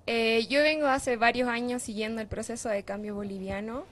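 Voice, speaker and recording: A woman's voice: on the high side, fairly even intonation, moderate pace, a bit bright, a little hiss or hum. A young woman from Chile, answering interview questions in an earnest, reflective way with some fillers. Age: young adult. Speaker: interviewee, youth group member. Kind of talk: group interview.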